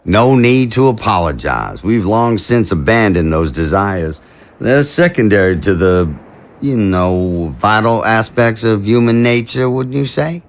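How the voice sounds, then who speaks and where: An actor playing an affable, diplomatic zombie president with a folksy, slightly hesitant manner in an audio drama. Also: male voice, fairly low pitch, expressive, measured pace. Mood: measured, diplomatic. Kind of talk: dramatic dialogue acting.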